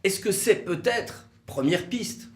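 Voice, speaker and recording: Animated man explaining, in an echoey room. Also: sits high, slow. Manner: didactic, rhetorical questions, emphatic stress on key words. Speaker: legal explainer presenter. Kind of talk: explanatory legal video talk, semi-scripted. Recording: roomy, echoey room sound.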